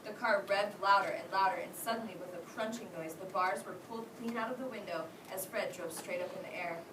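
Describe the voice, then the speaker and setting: A woman's voice: medium pitch, fairly quick. A woman schoolteacher reading aloud to her class and prompting the children with questions. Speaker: elementary school teacher. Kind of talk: interactive read-aloud to a class. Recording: roomy, distant mic, clearly noisy.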